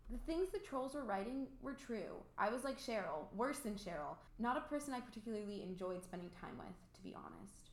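A woman's voice: medium pitch, lively intonation, fairly quick, few pauses, slight background noise, close to an everyday voice. A woman playing a bold, candid, rich teenage girl in an amateur radio play, with a theatrical, quippy delivery. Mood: confessional, regretful. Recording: roomy home recording.

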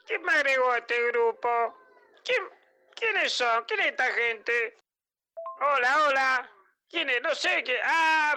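A man's voice: very high-pitched, pushed and bright, a little hiss or hum, heavily acted. A man voicing a grumpy, bewildered, very old Argentine bus driver in a comic radio drama, muttering and repeating himself bluntly. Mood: confused. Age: older.

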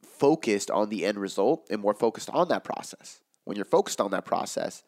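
A man with a raspy, worn-out voice, hosting a casual podcast Q&A as a fitness coach and explaining in a loose way, with lots of "like" and "um". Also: lowish voice, expressive, normal speed, darkish tone. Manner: loose, filler-heavy explaining with frequent "like" and "um". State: hoarse from talking all day.

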